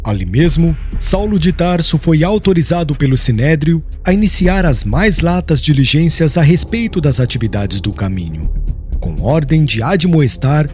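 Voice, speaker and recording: A man's voice: on the high side, lively intonation, normal speed. A man narrating an audiobook in a formal, literary and dramatic scripted style. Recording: soft music underneath.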